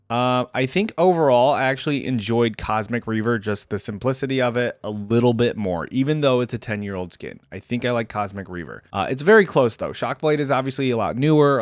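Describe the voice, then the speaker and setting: Man, mid-pitched voice, casual reaction commentary, conversational, thinking aloud, dry close recording. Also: no room sound. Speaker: reaction video host. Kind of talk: casual off-the-cuff reaction commentary on game skins.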